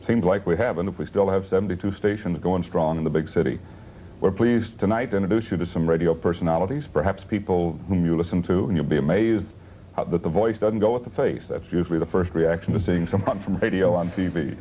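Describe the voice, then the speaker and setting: A man with a low, mellow voice hosts a talk show in a folksy, joking way. He introduces things casually, and the old TV broadcast sound has a faint hiss. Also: brisk, poor audio. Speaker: television talk show host. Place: television studio. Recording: hissy 1970s TV broadcast audio.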